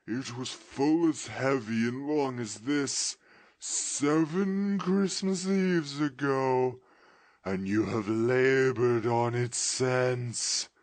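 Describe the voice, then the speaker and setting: A man voicing a doomed, mournful male ghost in chains, drawing out his words in a slow lament. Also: mid-range voice, no echo, pitched above the performer's own voice.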